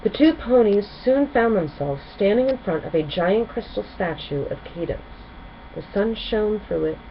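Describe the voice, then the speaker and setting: Animated woman storyteller with lowish voice. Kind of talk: expressive children's story narration. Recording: dull sound with faint background noise.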